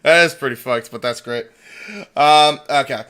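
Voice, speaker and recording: A man's voice: medium pitch, normal speed, bright, forceful tone, clean tone. A man hosting a casual livestream, chatting informally with plenty of swearing and repeated phrases. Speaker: livestream host.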